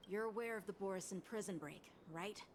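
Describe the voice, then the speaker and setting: A woman's voice: medium pitch, brisk, slight background noise, close to an everyday voice. A woman voicing a polite, dutiful young boy lieutenant in a video game, earnest and courteous.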